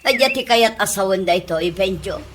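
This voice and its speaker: A woman's voice: medium pitch, animated, a little fast, background noise, lightly acted. A woman playing a strict, exasperated office boss in an Ilocano radio comedy, with an Ilocano accent.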